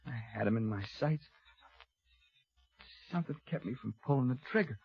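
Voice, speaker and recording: An actor playing a remorseful gunfighter turned rancher in an old radio western, speaking haltingly in a subdued, gravelly American voice. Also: male voice, mid-range voice, lively intonation, faint music behind. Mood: weary, remorseful. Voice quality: husky, gravelly. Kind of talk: 1950s radio western acting. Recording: muffled vintage radio recording.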